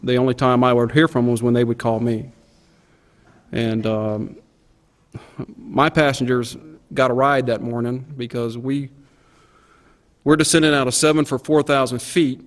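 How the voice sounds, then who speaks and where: A man's voice: mid-range voice, fairly even intonation, normal speed, long pauses. A middle-aged man, a pilot, recounting an experience informally in a plain-spoken, vivid way. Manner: plain-spoken, vivid, acts out quoted radio calls. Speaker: airline pilot giving a recollection. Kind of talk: informal spoken recollection of an event.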